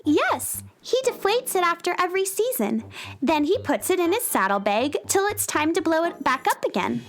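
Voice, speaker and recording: A woman's voice: extremely high pitch, very animated, normal speed, faint music behind, heavily acted. A woman playing a friendly, earnest young space girl in a children's radio drama, speaking with childlike eagerness. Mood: concerned.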